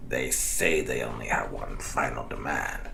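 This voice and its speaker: A man voicing a weary, worried lawman in a motion comic, gruff, gravelly and blunt. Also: rumbling low, a little slow, background noise, full character voice. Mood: urgent, grim.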